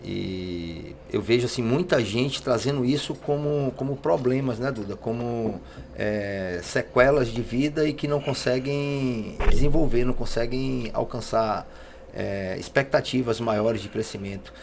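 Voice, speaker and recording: A man with a creaky, gravelly voice hosts a casual interview. He speaks haltingly, repeating words, over faint background noise. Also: medium pitch, darkish tone. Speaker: podcast host.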